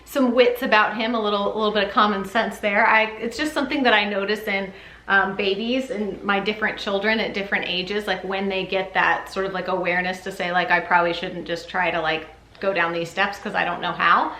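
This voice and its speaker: A woman with a medium-pitched voice chats informally as a parenting vlogger. She rambles along in a giggly, conversational way. The sound is noticeably roomy, recorded in a bedroom at home. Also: fairly even intonation, brisk, pushed and bright. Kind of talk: casual chatty vlog. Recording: echoey room.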